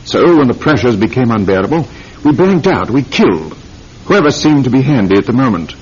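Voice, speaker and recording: A proud aristocratic surgeon in an old radio play. His husky voice rises and falls dramatically as he reasons in formal phrases. The sound is somewhat dull. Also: medium pitch, sing-song, a little mellow. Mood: grave, analytical. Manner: theatrical, formal, halting for emphasis. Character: proud, analytical titled British surgeon confined for attempted murder. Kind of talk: classic radio drama acting. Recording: muffled old radio recording.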